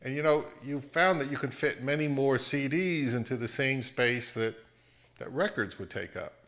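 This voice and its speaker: Middle-aged man, measured and halting. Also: mid-range voice, fairly bright tone. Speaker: host of an audio hobby channel. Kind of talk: casual explainer to camera with some narration. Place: home living room.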